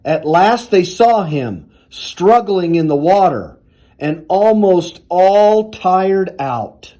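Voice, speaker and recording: A man reading a children's storybook aloud in a deliberate, expressive storytelling manner. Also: sits high. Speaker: university athletics director reading aloud.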